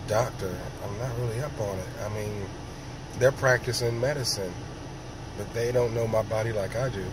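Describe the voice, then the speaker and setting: A man with a sore throat and a raspy, hoarse voice, talking slowly in a rambling casual monologue, often clearing his throat. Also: on the low side, background noise. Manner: rambling, slow, many ums and throat clearing. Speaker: vlogger.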